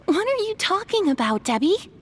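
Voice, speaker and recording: Game adventurer: young, chirpy, high-pitched, animated, sociable. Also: female voice, moderate pace, slight background noise, heavily acted. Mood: cheerful. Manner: exclamatory, upbeat. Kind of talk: video game voice acting.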